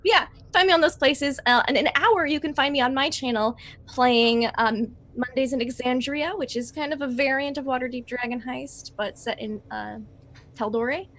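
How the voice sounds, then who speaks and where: High-voiced woman chatting casually. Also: moderate pace, a bit bright, a little hiss or hum. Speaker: guest player promoting their streams and podcast. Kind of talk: casual chat.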